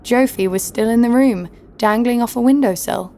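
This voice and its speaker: A woman's voice: fairly high pitch, normal speed, mellow, close to an everyday voice. An actress playing a tough, wry female adventurer who narrates her own story in a scripted audio drama. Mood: wry storytelling. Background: music.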